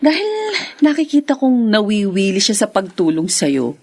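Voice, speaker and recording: A woman acting a gentle, confiding wife and younger sister in a Tagalog radio drama. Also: medium pitch, sing-song, normal speed, creaky.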